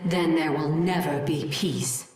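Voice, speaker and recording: An actress playing a desperate alien colonist who speaks formally, pleading and defiant. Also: female voice, deep voice, steady tone, takes it slowly, far from the mic. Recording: echoey, loud music behind.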